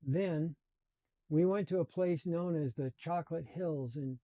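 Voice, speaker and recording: A man in his seventies telling his life story in a slow, reflective and earnest way. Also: fairly high pitch, warm tone, dry recording. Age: 70s. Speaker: channel host. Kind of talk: personal storytelling.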